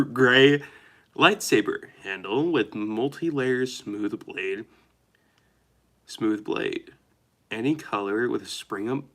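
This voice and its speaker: A young man chatting on a live stream, nasal and dry-throated from a cold, rambling and reading viewer messages haltingly. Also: medium pitch, fairly bright tone. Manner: rambling, chatty, reads chat aloud haltingly. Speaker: livestreamer.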